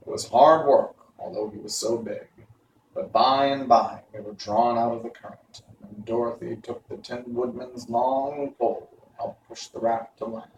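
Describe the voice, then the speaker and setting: A man's voice: medium pitch, fairly even intonation, moderate pace, dull audio. A man narrating a storybook, reading aloud. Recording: roomy, distant mic, faint noise.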